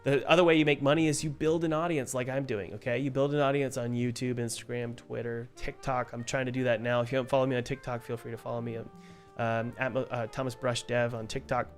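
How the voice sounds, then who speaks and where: A young man chats casually to viewers on a live stream, his voice a bit hoarse from a cold. He rambles with fillers and restarts at a mid pitch, and faint music plays underneath. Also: level tone, fast, hardly any pauses. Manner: chatty, loose, many fillers and restarts. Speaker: indie game developer and live-stream host. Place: home studio. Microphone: studio mic.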